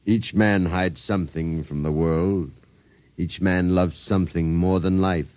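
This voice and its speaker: An actor in a 1950s radio drama playing a suave, sinister blackmailer who speaks with courteous, deliberate menace. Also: male voice, low-pitched, steady tone, darkish tone, clean tone, no room sound. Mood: smooth menace. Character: suave sinister doll-shop owner, a blackmailing self-proclaimed genius.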